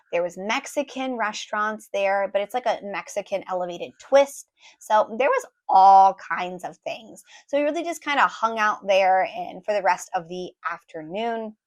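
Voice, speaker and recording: A woman in her thirties, hoarse as her voice recovers, chatting casually through a family travel recap with lots of ums and likes. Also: mid-range voice. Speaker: travel vlogger, mother of four.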